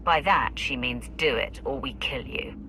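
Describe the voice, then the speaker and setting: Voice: mid-range voice, a little slow, bright, forceful tone, slight background noise. An actor playing a cool, sardonic warrior hero in a video game, with a dry, taunting delivery. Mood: dry threat. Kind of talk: video game cutscene acting.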